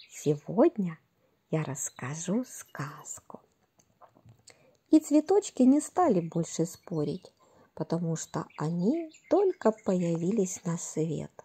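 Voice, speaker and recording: Slow, breathy woman telling fairy tales. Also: fairly low pitch, sing-song. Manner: unhurried, gentle bedtime-story delivery. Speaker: storyteller and author of children's fairy tales. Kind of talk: slow scripted fairy-tale narration for children.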